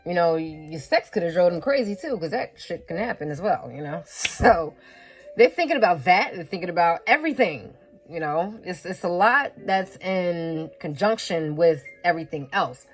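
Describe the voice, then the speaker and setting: A fairly low-voiced woman doing a laid-back tarot reading, talking straight and swearing without a second thought. She hammers her points home by repeating phrases and sniffles a little from a head cold, with faint music playing behind her. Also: expressive, moderate pace. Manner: frank and blunt, with frequent swearing and laughing asides. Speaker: tarot reader.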